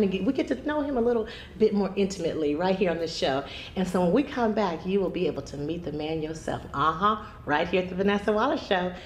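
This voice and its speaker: A woman's voice: lowish voice, echoey room, a little hiss or hum. Bubbly woman hosting a talk show. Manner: bubbly, chatty, many affirming uh-huhs. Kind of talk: enthusiastic interview chat. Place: TV studio set, seated.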